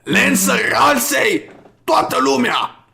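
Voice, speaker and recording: A man voicing a loud, excitable teenage monster schoolgirl bully from a video game, with swaggering, dramatic delivery. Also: very high-pitched, gravelly, voice pushed up, heavily acted. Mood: brash, excited. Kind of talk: amateur dub of video game dialogue.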